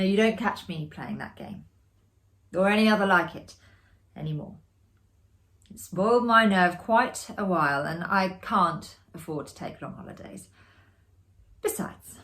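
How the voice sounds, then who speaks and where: A woman giving a theatrical, expressive reading of a classic ghost story, with deliberate phrasing. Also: mid-range voice. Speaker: amateur actor reading a story aloud.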